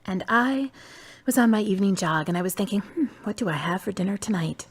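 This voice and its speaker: A woman's voice: mid-range voice, lively intonation, fairly quick, slightly rough. An actress playing a frank, playful divorced woman in a radio drama, talking in a teasing, direct way.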